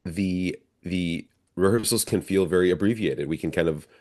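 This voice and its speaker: A man with an American accent and a deep voice speaks as an interview guest. He answers conversationally and reflectively, working through his explanations step by step. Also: moderate pace, a little mellow. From northern Minnesota, United States. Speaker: interview guest, professional stage actor.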